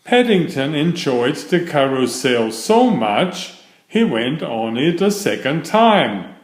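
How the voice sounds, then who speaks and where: A man's voice: fairly high pitch, big pitch swings, slow. A man reading a children's storybook aloud as a narrator. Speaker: children's story reader and author. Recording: roomy, echoing sound.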